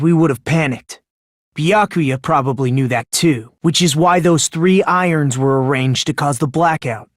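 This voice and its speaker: A woman with an American accent voicing a polite, soft-spoken young man with an unsettling hopefulness in a video game. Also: sits low, dry recording, studio quality. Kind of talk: English video game voice acting.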